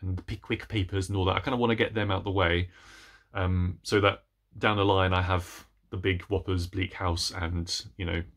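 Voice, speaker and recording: A man's voice: deep voice, flat delivery, normal speed, a bit bright. A man in his thirties chatting casually about books to camera, hesitant and rambling, often breaking off mid-sentence. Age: 30s. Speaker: book reviewer vlogger. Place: small indoor room with bookshelves.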